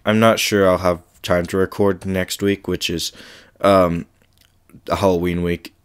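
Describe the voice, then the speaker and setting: A male podcast host with a slight cold, chatting casually with frequent ums and then reading a scary story aloud. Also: low voice.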